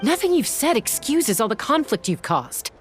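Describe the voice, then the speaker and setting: An actress voicing a sharp, level-headed young heroine in a video game who talks in a measured, reasoning way. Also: female voice, high-pitched, very animated, soft background music. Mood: defiant. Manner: measured, deductive.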